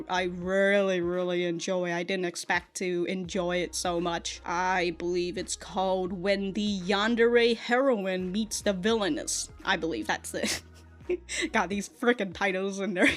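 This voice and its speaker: A woman's voice: mid-range voice, measured pace, faint music behind. A woman chatting casually and unscripted as an online reviewer, rambling and circling back over her phrases, with some casual swearing. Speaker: YouTube anime and manga reviewer.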